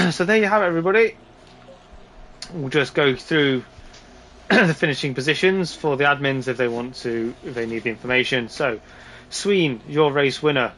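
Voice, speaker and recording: A man with a slightly hoarse voice giving casual, informal live commentary on a sim race. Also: mid-range voice, moderate pace, fairly bright tone, slight background noise, poor audio.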